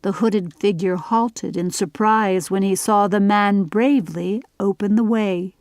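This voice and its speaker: A woman narrating a scripted story for an audiobook in a measured, literary reading style. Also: medium pitch, steady tone, darkish tone, no room sound.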